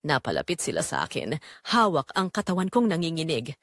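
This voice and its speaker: A woman performs a dying mother who has suffered quietly for years, narrating an audio drama. Her delivery is sorrowful and pleading, with lively rises and falls in pitch. The sound is dry and free of room echo. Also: fairly quick, heavily acted. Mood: pained, somber. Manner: solemn, sorrowful, pleading storytelling.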